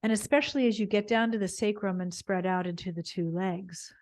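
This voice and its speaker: A woman teaching gentle yoga, leading an unscripted spiritual body scan in a meandering, halting way as she searches for words. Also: medium pitch, fairly even intonation.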